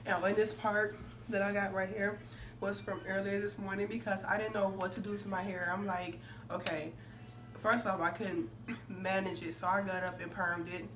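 A young woman with a somewhat hoarse, congested voice chatting casually and emphatically to camera as a beauty vlogger. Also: medium pitch, level tone, normal speed. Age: young adult. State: hoarse from a cold, clearing throat. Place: indoor room with curtains. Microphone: phone facing her, a few feet away. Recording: distant phone mic, noisy room.

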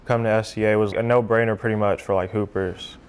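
A teenage basketball player speaking casually and laid-back in an interview. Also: male voice, fairly low pitch, fairly even intonation, fast, background noise. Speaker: high school basketball player.

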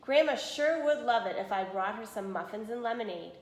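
A woman's voice: fairly high pitch, lively intonation, a little fast. A woman voicing an eager, polite, trusting little girl from a storybook, in an earnest, chirpy way. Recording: roomy echo of a small room.

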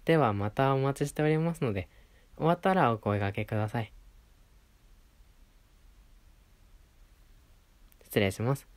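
A man acts out a male clothing store clerk in a situation-voice roleplay. He has a mid-pitched voice with lively intonation. He speaks in courteous customer-service style, attentive to the customer he is addressing. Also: fast, lightly acted. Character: polite, attentive male clothing shop assistant serving a regular. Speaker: voice performer in a situation voice audio.

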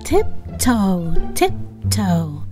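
A woman reading a picture book aloud to young children, expressively, with sing-song, deliberate phrasing. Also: mid-range voice, sing-song, music in the background. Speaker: children's story reader.

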